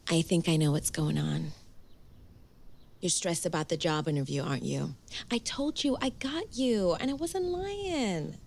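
A woman's voice: lowish voice, expressive, measured pace, gravelly, a little mellow, exaggerated voice. A woman acting a chatty, caring, teasing aunt in a naturalistic audio drama. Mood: coaxing.

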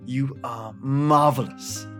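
A man hosting a podcast, reading a scripted introduction in a measured, earnest and formal way. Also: medium pitch, fairly even intonation, fairly bright tone. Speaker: podcast host. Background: plucked guitar music.

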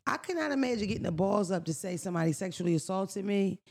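A woman with a raspy voice co-hosting a podcast, chatting casually in a blunt, profane, storytelling way. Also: mid-range voice, lively intonation, fast talker, a little mellow. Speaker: podcast co-host. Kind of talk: casual chat.

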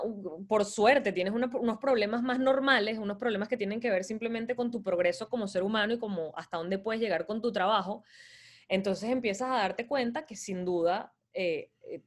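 A woman from Venezuela with a Venezuelan accent, a podcast guest talking in a reflective, measured way. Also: mid-range voice. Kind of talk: casual conversational interview.

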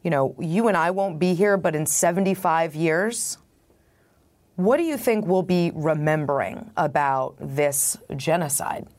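A woman's voice: low voice, unhurried, a little mellow. A woman hosting an interview podcast, asking her guest direct, conversational questions. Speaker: podcast host.

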